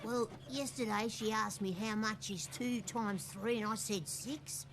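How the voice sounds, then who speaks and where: A woman voicing a cheeky, matter-of-fact, foul-mouthed little schoolboy in an animated comedy. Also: fairly high pitch, measured pace, slight background noise.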